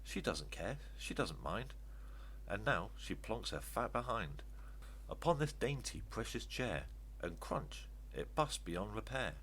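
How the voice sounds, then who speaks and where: Animated older man reading rhyming stories. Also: on the low side, a little slow, poor audio. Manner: expressive, emphatic. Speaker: children's story reader. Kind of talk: read-aloud rhyming verse storytelling. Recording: steady background noise with hum.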